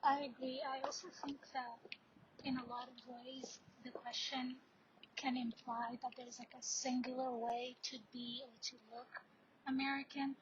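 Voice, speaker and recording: A woman's voice: high-pitched, little pitch movement, unhurried. A young woman in her twenties, a student mentor speaking earnestly in a podcast discussion. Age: young adult. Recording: dull lo-fi audio, faint noise.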